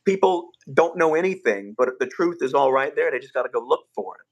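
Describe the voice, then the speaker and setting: A man hosting a casual livestream, rambling with fillers and restarts in a rough voice. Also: medium pitch, expressive, a little fast. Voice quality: rough, gravelly voice. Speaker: livestream host.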